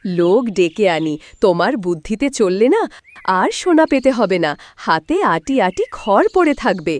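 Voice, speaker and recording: Bossy village wife, played by a woman, shrewd and scolding, very lively swings for a cartoon. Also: mid-range voice, normal speed, close to an everyday voice. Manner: scolding, emphatic, theatrical. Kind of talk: cartoon moral-story voice acting.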